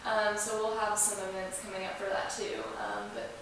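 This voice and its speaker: A woman's voice: mid-range voice, flat delivery, normal speed. A woman speaking as an interview guest in a casual, chatty style. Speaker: podcast guest representing a museum. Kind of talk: casual chat. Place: echoey indoor room. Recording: echoey room, distant mic, hiss.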